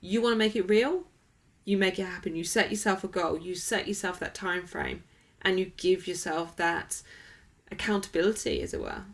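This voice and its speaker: A woman's voice: fairly low pitch, very animated, moderate pace. A woman being interviewed as a wellness coach, talking conversationally in an emphatic, motivational way with everyday examples.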